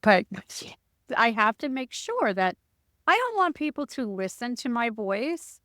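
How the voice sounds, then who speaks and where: A woman co-hosting a podcast, with a loud, booming voice and an energetic, jokey way of talking in casual chat. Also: unhurried, dry recording, studio quality. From Arizona, USA. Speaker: podcast co-host.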